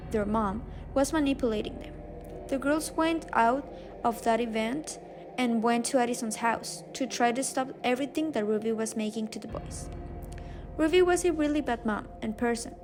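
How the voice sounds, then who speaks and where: A woman reading scripted radio drama narration, a little haltingly, with small stumbles. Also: on the high side. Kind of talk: scripted narration, read aloud. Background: music.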